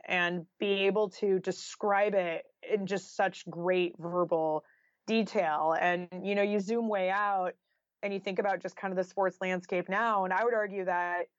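A woman's voice: mid-range voice, no echo. A woman speaks as an author being interviewed, casual yet thoughtful. Her talk is conversational and sprinkled with filler phrases like "you know". The recording is dry and somewhat dull. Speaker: interview guest, nonfiction author.

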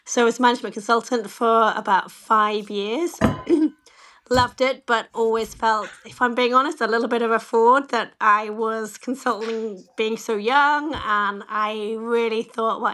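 Slightly croaky woman, candid interview guest. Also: a little high, measured pace, pushed and bright. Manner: candid, self-deprecating, many "you know" fillers. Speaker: bank chief executive, interview guest. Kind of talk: conversational interview answers.

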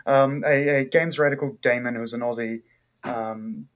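A man's voice: mid-range voice, steady tone, moderate pace, muffled recording. A man from New Zealand with a New Zealand accent, an author talking casually in an interview, thoughtful and full of fillers and restarts.

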